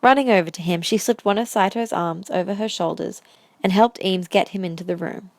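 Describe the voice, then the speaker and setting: A woman's voice: medium pitch, fairly quick, no echo. A woman reading scripted story narration for an audio drama.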